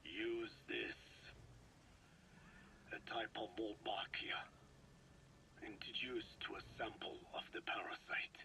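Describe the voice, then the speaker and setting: An actor playing an ancient male scientist in a video game, speaking in a measured, formal, precise way with a whispery voice. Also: lowish voice, fairly even intonation, pushed and bright, full character voice, poor audio. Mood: calm, instructive.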